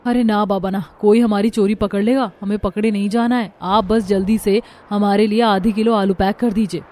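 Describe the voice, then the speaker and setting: A woman's voice: medium pitch, steady tone, moderate pace, warm tone, close to an everyday voice. A woman voicing a sneaky young daughter-in-law in a family cartoon, chatty and conspiratorial. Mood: secretive. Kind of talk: Hindi family cartoon dialogue.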